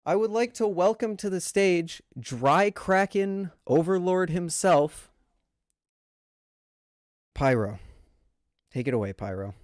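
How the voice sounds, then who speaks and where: A man's voice: high-pitched, animated, takes it slowly, long pauses, a little mellow, no room sound, clean studio sound. A man hosting an awards stream for a live chat, presenting in a theatrical, jokey way with mock exasperation. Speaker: awards show host.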